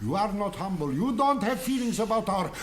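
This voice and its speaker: An earnest man in a radio drama. His voice is extremely high and very animated. He moralises piously and reproachfully. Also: a little fast, a little hiss or hum. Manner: earnest, non-native phrasing. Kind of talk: British radio drama acting.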